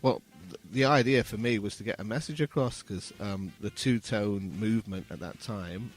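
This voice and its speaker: A man in his fifties from England, recalling things as an interview guest in a halting, reflective way. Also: lowish voice, soft background music. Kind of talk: casual interview recollection.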